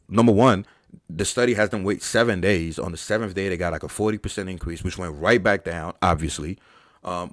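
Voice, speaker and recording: Deep-voiced, blunt man ranting. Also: dry recording, clean studio sound. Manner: blunt, profane, exasperated debunking. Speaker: fitness channel host. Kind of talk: live Q&A, reading chat questions.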